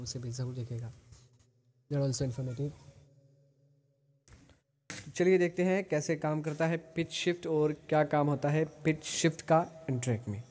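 A man with an Indian accent explaining software as a tutorial host, mixing in English phrases. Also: on the high side.

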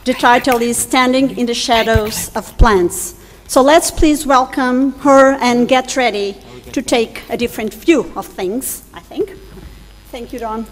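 A woman's voice: a little high, a little slow. Woman introducing a speaker in a hall. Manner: reads a warm introduction, some hesitations. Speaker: session chair. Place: large auditorium stage. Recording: long hall reverb, faint hum.

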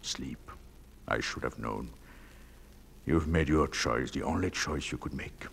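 Actor as a deep, slow ghostly sea captain. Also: male voice, creaky, breathy edge, heavily acted. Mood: tender, resigned. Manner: theatrical, tender, wistful delivery. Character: gruff, lovelorn male ghost of a sea captain. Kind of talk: 1940s radio play acting.

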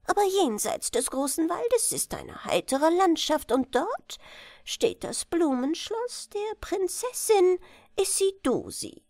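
Woman playing a slow, fluting falsetto royal chancellor. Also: sing-song, a little mellow, no echo, heavily acted. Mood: sweetly persuasive. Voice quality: thin high falsetto. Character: high-voiced old royal chancellor, male, flattering and scheming.

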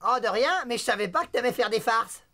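Bright, cocky cartoon tomcat. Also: female voice, on the high side, lively intonation, brisk. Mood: sheepish. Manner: brash, showing off. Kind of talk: French cartoon dubbing.

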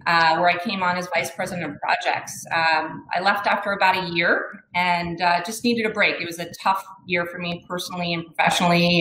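A woman who owns a business introducing herself on a video-call panel, steady and matter-of-fact. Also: fairly low pitch, slight background noise. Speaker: project management business owner. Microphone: webcam on video call. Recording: echoey room over video call.

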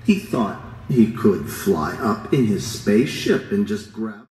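A man reading a children's picture book aloud in a gentle storybook manner. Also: on the low side, very animated, slow pace, far from the mic. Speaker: read-aloud narrator. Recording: recording played in an echoey gym, heard from afar.

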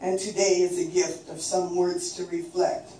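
An older woman from coastal British Columbia reading her own poems aloud in a slow, measured recitation at an open mic in a small venue. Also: on the low side, level tone, dark tone. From coastal British Columbia, Canada. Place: small dim performance venue, indoors. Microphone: far camera. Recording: very echoey room, distant, faint noise.

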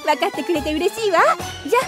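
A breezy, carefree senior coworker. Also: female voice, very high voice, animated, quick pace, loud music behind the voice. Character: carefree, work-dodging female senior saleswoman.

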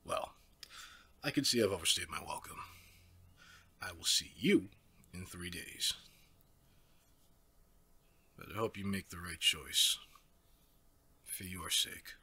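A man playing a smug, menacing werewolf pack leader in an ASMR audio drama, speaking with raspy, mock-courteous condescension. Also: deep voice, slow, long pauses, bright, forceful tone, exaggerated voice. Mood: condescending, veiled threat.

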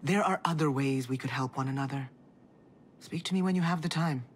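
An actress playing a composed older female leader in a video game, speaking in a measured, formal, earnest way. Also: extremely low pitch, slight background noise. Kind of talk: cinematic video game acting.

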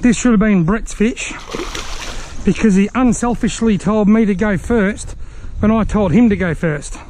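An Australian man giving chatty, excitable commentary while fishing on a riverbank, filmed on an action camera and talking to the fish he catches. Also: sits high, unhurried, a little hiss or hum, poor audio. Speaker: fishing vlogger. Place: outdoors on a riverbank.

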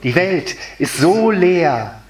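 A man's voice: high-pitched, sing-song, takes it slowly, a little mellow, pitched above the performer's own voice, full character voice. A man voicing an anguished, lovelorn female water spirit in a solemn, lyrical dramatic monologue. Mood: pleading. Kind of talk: literary dramatic monologue recitation. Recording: echoey room, distant mic, music underneath. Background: music.

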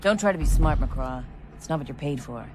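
A woman's voice: low-pitched, animated, brisk, background noise. An actress playing a blunt, wry female Marine sergeant who leads her squad in a sci-fi motion comic. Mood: wry, commanding. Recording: loud music bed behind the voice.

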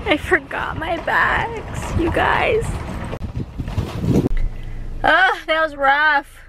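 Slow-talking young woman vlogging, music behind. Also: high-pitched, lively intonation. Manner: chatty, wry, slightly tired. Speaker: lifestyle vlogger. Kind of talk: casual vlog narration. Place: car, grocery store and home kitchen. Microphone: handheld camera close to face. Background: background music.

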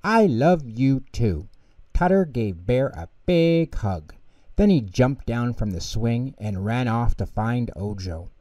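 A man's voice: mid-range voice, expressive, a little slow, warm tone, no echo, recorded from a distance. A man reading a scripted children's bedtime story as the narrator.

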